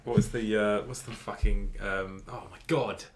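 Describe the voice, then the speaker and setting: A man's voice: on the low side, steady tone, measured pace, over music. A man co-hosting a podcast from a home kitchen, bantering, swearing and laughing easily in casual chat. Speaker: podcast co-host.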